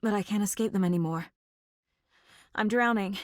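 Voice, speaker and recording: An actress playing a determined, focused female FBI agent in a video game, with a serious, measured investigator's delivery. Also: medium pitch, no room sound, studio quality, natural voice. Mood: despairing. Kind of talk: scripted video game dialogue and inner monologue.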